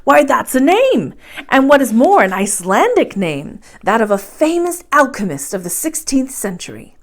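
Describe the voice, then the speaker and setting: A woman reading as an excitable old professor. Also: sits high, moderate pace, pitched above the performer's own voice, full character voice. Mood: triumphant. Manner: emphatic, declaiming. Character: excitable old male professor, obsessive scholar.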